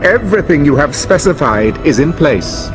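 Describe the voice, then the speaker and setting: An actor playing an obsequious servant to an evil master in a video game, formal and fawning. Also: male voice, on the high side, big pitch swings, normal speed. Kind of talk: scripted video game villain acting. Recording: music behind the voice.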